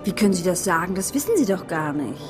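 A woman's voice: fairly low pitch, very animated, quick pace, over music. An actress playing a prim, touchy, well-bred young woman who speaks formally and curtly in a German radio drama. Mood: nervous, prickly.

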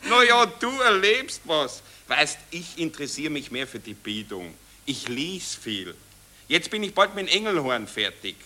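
Casting: cynical young Austrian officer, slightly high, very bright voice, nonchalant Viennese slang, 1940s radio play. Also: male voice, big pitch swings, a little slow, full character voice. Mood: chummy, smug. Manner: offhand, slangy, affected nonchalance. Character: blasé, cynical young Austrian army officer, coolly dismissive of death.

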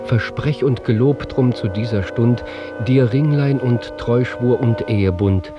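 A man's voice: mid-range voice, unhurried, lo-fi sound, over music, natural voice. A man playing a pious, lovestruck, conscience-torn knight, declaiming rhymed verse solemnly in an audio drama. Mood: tender, grateful.

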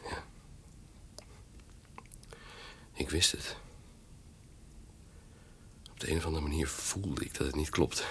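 An actor playing a sincere, tender suitor in a Dutch radio drama, husky and breathy, halting and repeating his words. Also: extremely low pitch, slight background noise. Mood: resigned, hurt.

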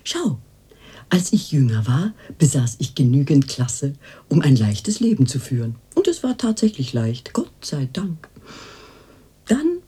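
Radio drama casting: aging madam turned fortune-teller, cooing and pious, very low husky voice, unhurried. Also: female voice, sing-song, dark tone, heavily acted. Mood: confiding, pious. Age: older. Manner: cooing endearments, theatrical.

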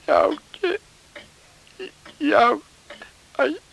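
A woman acting an anxious figure at a sickbed in a radio play, with a creaky voice. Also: sits high, huge pitch swings, very slow, fairly bright tone, heavily acted, rough recording. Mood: pleading, tearful. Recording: faintly hissy radio play recording.

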